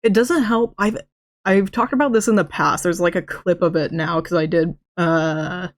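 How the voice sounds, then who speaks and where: A woman's voice: on the low side, normal speed. A woman with a lisp chatting casually on a live stream, rambling from story to story, stuttering and restarting words mid-sentence. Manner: chatty, rambling, laughs often.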